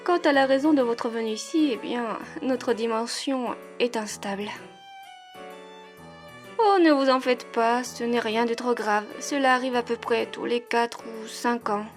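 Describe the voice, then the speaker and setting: Woman as a high-voiced gracious duchess. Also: soft background music, natural voice. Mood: explanatory, reassuring. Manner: refined, polite, airy, drawn-out. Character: gracious, effusive aristocratic duchess in steampunk manor. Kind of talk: French audio roleplay acting.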